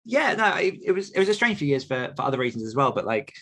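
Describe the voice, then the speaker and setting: A man's voice: mid-range voice, very animated, quick pace, a bit bright. A British man in his thirties talking casually as a podcast guest, chatty and anecdotal in manner. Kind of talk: casual conversational interview.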